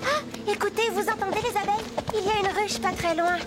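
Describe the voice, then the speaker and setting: A woman voicing a gentle, cheerful young girl rider in a French animated series dub, speaking politely and soothingly. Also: extremely high pitch, fast, very noisy. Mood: happy.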